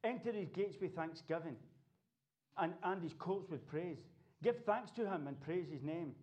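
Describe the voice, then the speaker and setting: A man preaching a sermon, building from quiet earnestness to loud, fervent exhortation. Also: on the high side, animated, normal speed, dull audio. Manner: impassioned preaching, rising to shouts. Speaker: pastor. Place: church.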